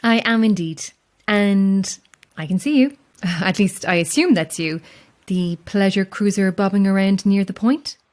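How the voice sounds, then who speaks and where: An actress playing a chatty, self-deprecating lighthouse keeper in an audio drama, friendly and a little wistful, with hesitant asides. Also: female voice, mid-range voice, a little slow, a little mellow, lightly acted. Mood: friendly, attentive. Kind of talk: naturalistic audio drama acting.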